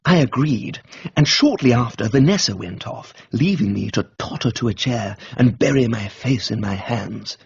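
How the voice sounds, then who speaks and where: Droll Englishman narrating, breathy and lively. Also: mid-range voice, normal speed, muffled recording. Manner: droll, theatrical, upper-class diction. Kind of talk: comic first-person audiobook narration for radio.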